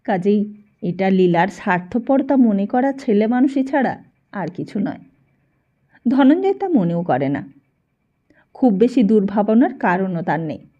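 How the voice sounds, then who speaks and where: A woman's voice: mid-range voice, expressive, unhurried, long pauses, dark tone, muffled recording. A woman reading a literary short story aloud as scripted audiobook narration.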